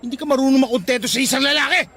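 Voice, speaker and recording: A man's voice: very high-pitched, very fast, bright, forceful tone, a faint crowd, full character voice. A man with a Filipino accent playing a jealous, hot-tempered young boyfriend, scornful and accusing, in a radio drama. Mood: scornful, angry. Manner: confrontational, scornful, insulting. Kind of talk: Tagalog radio drama acting.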